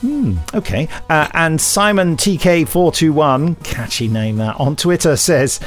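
A man's voice: fairly high pitch, expressive, unhurried, faint music behind. A middle-aged man co-hosting a podcast, chatting casually and jokily as he reads out listener reviews. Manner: jokey, self-deprecating, laughs often. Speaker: podcast host.

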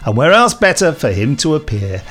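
A man's voice: on the high side, big pitch swings, brisk, fairly bright tone. A middle-aged man hosting a podcast in casual chat, reading listener emails with wry, bantering asides. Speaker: podcast host, audio drama director and composer.

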